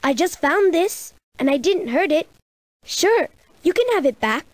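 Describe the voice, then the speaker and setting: A woman's voice: very high-pitched, expressive, measured pace, no echo, clean studio sound. A woman voicing a sheepish, defensive, childishly apologetic villager in a children's game. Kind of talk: children's game cartoonish voice acting.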